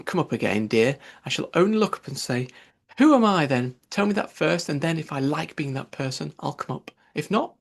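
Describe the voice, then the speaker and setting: A man's voice: fairly high pitch, creaky. A man voicing an anxious, chattering young girl from a storybook, musing fretfully to herself. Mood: sad, fretful. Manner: muses aloud to self, fretful. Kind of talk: storybook character voice.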